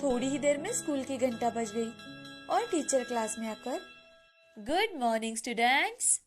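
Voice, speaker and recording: A female storyteller narrates a scripted tale for children. Her voice sits high, and background music plays clearly behind her. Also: lively intonation, normal speed, dark tone.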